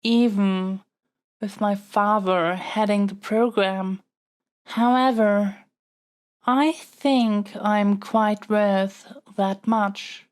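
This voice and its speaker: A woman's voice: medium pitch, steady tone, drawn-out words, smooth. A woman playing a young royal princess in an audio roleplay, speaking in a formal, stately, politely pleading manner. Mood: coaxing, diplomatic. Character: young royal princess, haughty yet smitten, kidnapped by dragon. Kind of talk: scripted audio roleplay acting.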